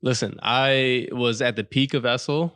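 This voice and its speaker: A young man in his twenties from New York State, chatting around a podcast table. He tells stories loosely, swears easily and slips into the voices of the people in his stories. His voice sits at a middling pitch. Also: a little slow, a bit bright, clear, resonant tone, dry recording. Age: 20s. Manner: storytelling, swears freely, acts out quotes. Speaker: podcast guest. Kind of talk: casual podcast chat.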